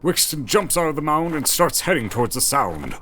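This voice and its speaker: A man's voice: fairly high pitch, big pitch swings, a little fast, noisy background. A man narrating an audio drama with dramatic scripted storytelling delivery. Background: music.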